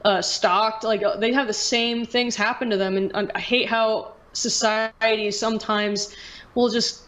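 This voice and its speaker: A woman's voice: a little high, steady tone, a little slow, fairly bright tone, a little hiss or hum. A woman host in a casual podcast chat, speaking candidly and informally and swearing freely.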